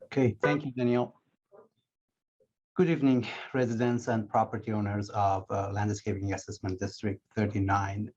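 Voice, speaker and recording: A man with a fairly low voice gives a formal welcome at a public meeting, pausing often with uhs. His voice comes through dull, lo-fi call audio. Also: flat delivery, darkish tone. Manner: halting, many uh fillers. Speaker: city public works manager. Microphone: video call or phone.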